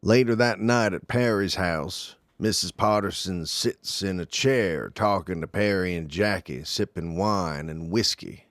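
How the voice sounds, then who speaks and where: Male narrator, lower register, scripted drama narration. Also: unhurried, hardly any pauses, a bit bright, dry recording, clean studio sound.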